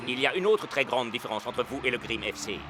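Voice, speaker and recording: Man playing a formal cartoon team manager, mid-pitched. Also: expressive, quick pace, over music, lightly acted. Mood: firm, reproachful. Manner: formal, indignant, articulate. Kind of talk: animated cartoon dubbing.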